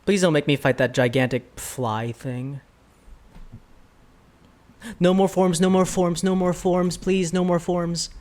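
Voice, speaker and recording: A woman streamer giving casual, excitable, playful reaction commentary during a game playthrough. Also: on the low side, unhurried, long pauses. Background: game music and dialogue.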